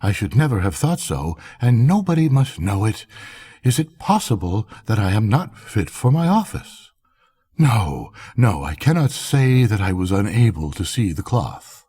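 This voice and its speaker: A man's voice: on the low side, sing-song, unhurried, warm tone, husky, full character voice. A man voicing an anxious old minister, earnest and exclaiming, in a storybook reading. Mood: worried, flustered. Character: poor old male minister, anxious and flustered.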